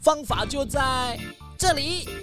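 A highish, wildly swinging creature voice, stutters and squawks as an excitable locksmith in a children's radio play, with music behind. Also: female voice, slow pace. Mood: dutiful, excitable. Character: excitable, squawking animal locksmith, dutiful and eager. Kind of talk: children's audio drama acting.

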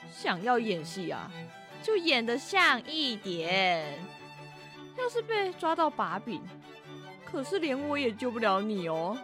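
A woman playing a stern, nagging talent manager in a radio drama, scolding with drawn-out emphasis. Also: fairly high pitch.

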